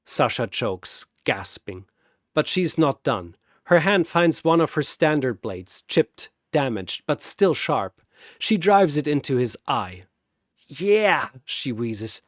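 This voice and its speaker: A man's voice: mid-range voice, big pitch swings, slow pace, no echo, clean studio sound. A man narrating a dramatic battle story in vivid, play-by-play fashion.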